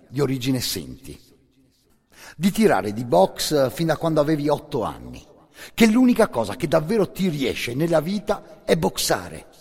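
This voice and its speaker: Male monologist for radio theatre: husky, intense storyteller, very wide swings in pitch, long hall reverb. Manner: intense, theatrical storyteller, swelling from hushed to forceful.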